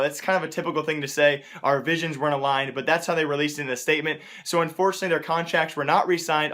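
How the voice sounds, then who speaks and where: A man's voice: fairly high pitch, fairly bright tone. A man hosting casual esports news commentary, speaking informally and often repeating points for emphasis. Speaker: host of an esports news show.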